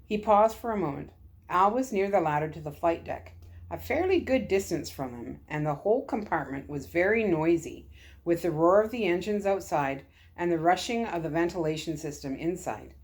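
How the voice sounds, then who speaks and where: A woman reading a story aloud in an expressive narrating style, as a storyteller. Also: fairly low pitch, moderate pace.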